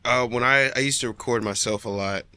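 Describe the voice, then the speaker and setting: A man's voice: mid-range voice, fairly quick, sharp tone, smooth. A young man talking casually and loosely on a podcast, full of slang, often laughing. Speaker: podcast guest, rapper. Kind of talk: casual chat.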